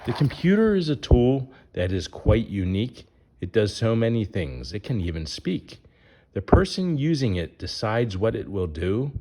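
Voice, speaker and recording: A man's voice: fairly low pitch, very animated, moderate pace, mellow. A man reading a rhyming children's picture book aloud in a sing-song way, putting questions to young listeners.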